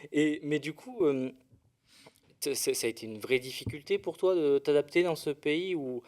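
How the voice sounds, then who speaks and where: A man's voice: on the high side, measured pace, long pauses, darkish tone. Young guy hosting a podcast, casual, kinda rambly questions. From rural France. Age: young adult. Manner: loose, hesitant questions. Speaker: podcast host. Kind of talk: casual interview.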